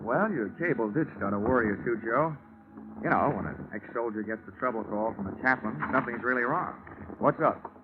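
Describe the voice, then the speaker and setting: An actor playing a wisecracking American insurance investigator in 1950s radio drama style, with wry, clipped, punchy delivery. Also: male voice, mid-range voice, expressive, a little fast, background noise, lightly acted. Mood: guarded, dry. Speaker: lead actor and narrator of a detective serial. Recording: muffled vintage radio broadcast, prominent music.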